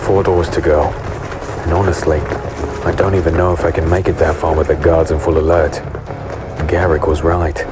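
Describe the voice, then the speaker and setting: An actor playing a wary hooded rogue, narrating in the first person in a fantasy audio drama, low-key and brooding. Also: male voice, level tone, moderate pace. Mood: anxious. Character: wary, hooded male rogue narrating his own infiltration. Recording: loud music bed behind voice.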